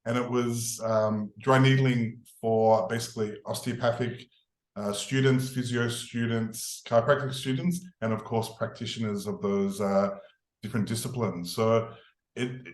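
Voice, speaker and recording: This is a middle-aged man with an Australian accent, talking at an ordinary pitch. He tells his story in a relaxed, meandering way, full of fillers. The recording has a noticeable room echo. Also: unhurried. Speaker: guest. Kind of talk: podcast interview.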